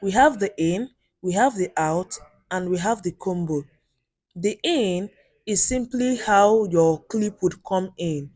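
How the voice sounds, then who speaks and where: A woman with a loud voice teaching video editing step by step on a handheld mic, in a chatty way with lots of "okay" and "right?". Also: medium pitch, slow, no room sound, clean studio sound. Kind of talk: screen-recorded app tutorial.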